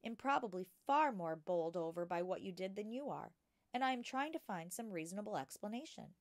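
A woman voicing a gentle, patient woman teacher in a children's storybook, explaining things with care. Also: mid-range voice, expressive, no echo, lightly acted. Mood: cautious, earnest. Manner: patient, careful, measured explaining. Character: gentle, cautious, patient young woman schoolteacher. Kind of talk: children's storybook character reading.

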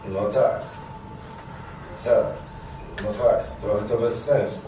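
A man's voice: lowish voice, steady tone, slow pace. A man playing a flustered, stammering, bumbling henpecked talk-show announcer in a live comedy stage sketch. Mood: flustered, placating. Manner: stammering, apologetic, fumbling. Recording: echoey noisy hall, distant mic.

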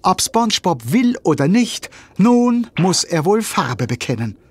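A man narrating a children's audio drama as a storyteller, scripted, dramatic and expressive. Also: sits high, unhurried, husky, no echo, full character voice.

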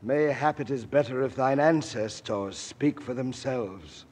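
A man's voice: mid-range voice, measured pace, a little mellow, close to an everyday voice. An actor as a theatrical old ghostly nobleman, echoing. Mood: grand, mournful. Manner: archaic, declamatory, grandiose. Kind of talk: 1940s radio acting. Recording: old radio recording, reverberant hall.

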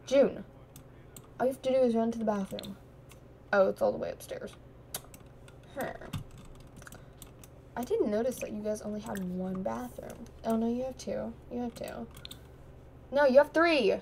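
A woman's voice: a little high, moderate pace, gravelly, long pauses, darkish tone, a little hiss or hum. A young woman who is losing her voice, giving casual, giggly commentary while playing a life-sim game and chatting to the characters. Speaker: gaming streamer. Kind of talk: casual Let's Play commentary.

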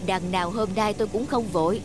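A man's voice: sits high, loud music behind the voice, close to an everyday voice. Man playing genial middle-aged cartoon driver. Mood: kindly. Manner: genial, unhurried. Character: big, kindly, easygoing middle-aged male driver. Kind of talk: cartoon voice acting.

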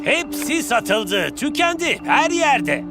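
Really high voiced cartoon soccer diva, vain n dramatic, music blaring behind. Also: male voice, big pitch swings, takes it slowly, exaggerated voice. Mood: anxious. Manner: theatrical, boastful. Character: vain, melodramatic star striker, adored by his fans. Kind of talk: animated cartoon dub acting.

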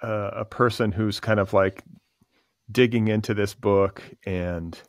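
A man's voice: lowish voice, steady tone, measured pace, no echo, studio quality. A man hosting a podcast, asking long casual questions filled with "like" and "sort of". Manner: casual, rambling questions full of "like". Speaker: podcast host. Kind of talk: podcast interview.

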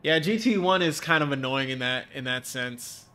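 A man's voice: medium pitch, lively intonation, normal speed, sharp tone. A young man with a stuffed-up nose chatting casually with viewers while he streams a racing game from home, reading out chat and joking along. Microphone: desk mic, webcam framing.

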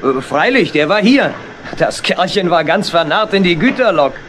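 A jolly, excitable railway porter, high-voiced and swooping, from a children's radio play. There is faint noise on the recording. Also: male voice, normal speed. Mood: amused, eager. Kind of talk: German children's audio drama acting.

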